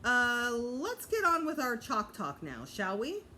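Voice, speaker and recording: A woman's voice: fairly high pitch, wildly swooping pitch, slow pace, pushed and bright, a little hiss or hum, pitched above the performer's own voice, exaggerated voice. Woman playing a genial, encouraging storybook showman. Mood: friendly. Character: genial, showy male children's author, gray-bearded. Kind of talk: children's storybook character voice.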